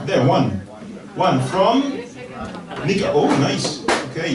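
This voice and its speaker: Man hosting a comedy night, high voice, teasing, chuckling, echoey room, far from the mic, roaring crowd. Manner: teasing, chuckles often between lines. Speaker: comedy show host. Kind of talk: stand-up crowd work. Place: comedy venue with a live audience.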